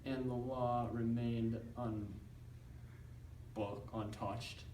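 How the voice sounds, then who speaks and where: A young man reading a scripted school newscast report in a halting, recited manner. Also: medium pitch, mellow. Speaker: student news presenter in a class project. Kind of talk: scripted narration read as a newscast. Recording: roomy, distant mic with background noise.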